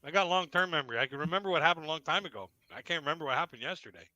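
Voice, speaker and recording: A man's voice: fairly high pitch, expressive, very fast, pushed and bright, lo-fi sound. A man hosting a live-stream interview who jokes about himself. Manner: joking, self-mocking.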